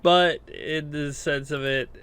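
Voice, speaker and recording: A man's voice: on the high side, steady tone, slow, a bit bright, clean tone, no room sound. A man co-hosting a podcast, chatting casually about gaming news. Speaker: podcast co-host.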